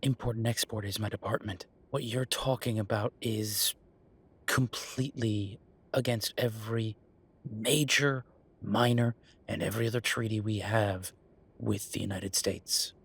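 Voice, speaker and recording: A man playing an exasperated, firm werewolf bureaucrat in an audio roleplay, talking emphatically in a dry, husky voice. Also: lowish voice, steady tone, a little slow. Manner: exasperated, emphatic, repeating himself. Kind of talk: audio roleplay acting, one side of a phone call.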